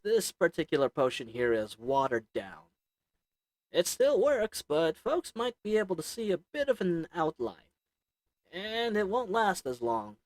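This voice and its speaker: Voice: medium pitch, dry recording, heavily acted. A performer voicing a sly, haggling goblin potion merchant who talks to the listener with wheedling, sarcastic salesman patter and little chuckles. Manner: chuckles between lines. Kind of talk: audio roleplay acting to the listener.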